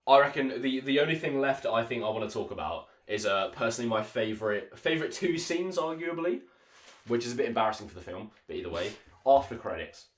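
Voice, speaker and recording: Man with lively pitch swings, echoey room. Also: a little fast. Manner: opinionated, informal. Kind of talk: casual film discussion.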